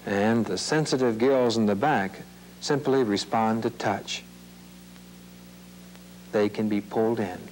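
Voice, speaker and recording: A man reading scripted nature narration for children in a measured, explanatory, plain-worded way. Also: lowish voice, a little mellow, low-quality recording. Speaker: narrator of an educational film series. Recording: hissy, humming old videotape soundtrack.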